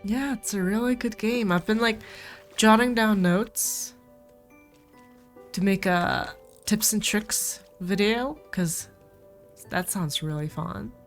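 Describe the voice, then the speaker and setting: A woman's voice: mid-range voice, takes it slowly, long pauses. A woman with a cold, rambling casually as she plays a farming game and talks to her viewers. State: sick with a cold. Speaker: gameplay streamer. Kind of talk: casual gameplay commentary. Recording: faint game music behind.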